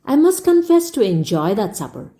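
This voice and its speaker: Woman reading aloud, mellow and lively. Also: on the high side, normal speed. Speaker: storyteller. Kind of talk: audiobook-style read-aloud narration.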